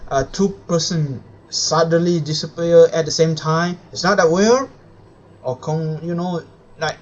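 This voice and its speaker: Slow, higher-voiced male streamer. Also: steady tone, darkish tone. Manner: halting, stumbling phrasing. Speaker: game live streamer. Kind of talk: live stream reading aloud and commentary. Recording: dull lo-fi mic, faint game music.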